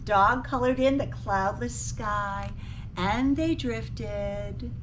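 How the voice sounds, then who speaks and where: A woman reading a picture book aloud to children, expressively, pausing to ask her young listeners questions. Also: medium pitch. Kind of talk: animated storybook read-aloud for children. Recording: distant mic with faint background noise.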